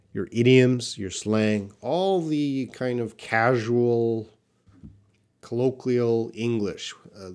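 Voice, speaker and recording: A man hosting a live English lesson, answering learner questions in a deliberate, emphatic way and repeating key points. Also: medium pitch, a little mellow. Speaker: English teacher, live Q&A host.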